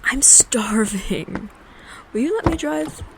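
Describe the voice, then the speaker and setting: A woman's voice: fairly high pitch, animated, slow pace, creaky, background noise, exaggerated voice. A woman playing a cheeky, blunt, sweary teenage daughter in an audio drama.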